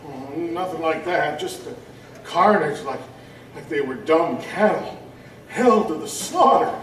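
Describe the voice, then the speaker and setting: Rattled seaman, acted by a man, fairly high voice, stammering, emphatic; audio drama, echoey room. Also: animated, unhurried, fairly bright tone. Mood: grim, insistent. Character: shaken, frightened sailor swearing off the sea. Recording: roomy, distant, faint background noise.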